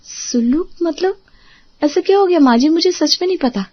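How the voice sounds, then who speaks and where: A woman's voice: very high-pitched, animated, moderate pace, no room sound. Woman as earnest young daughter-in-law, dull recording. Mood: puzzled. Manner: deferential, earnest. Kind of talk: Hindi family drama acting.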